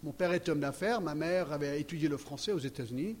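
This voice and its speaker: Older man preaching, American-born and Geneva-raised, conversational, keeps checking in with listeners, fairly high voice, long hall reverb, faint ambience. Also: fast, darkish tone. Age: 60s. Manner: chatty, checks in with audience often. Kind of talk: sermon with personal testimony. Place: church hall.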